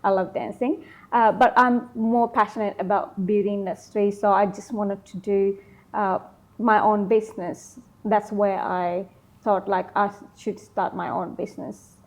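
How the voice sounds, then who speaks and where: A woman from Sri Lanka, in her thirties, answering interview questions casually, often pausing with ums and likes. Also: mid-range voice, level tone, low-quality recording. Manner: hesitant, many ums and likes. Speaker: interview guest, beauty business founder.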